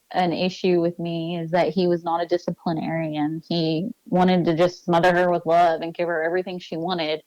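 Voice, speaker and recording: A woman's voice: on the low side, darkish tone, no echo, low-quality recording. A woman interview guest giving a personal account in an earnest, insistent way, repeating points for emphasis.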